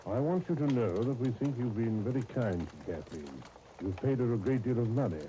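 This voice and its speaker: An actor playing a mild, genteel gentleman in a 1930s radio drama, formal, polite and a little hesitant. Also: medium pitch, animated, a little fast, close to an everyday voice. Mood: courteous. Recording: old 1930s radio disc, crackling noise.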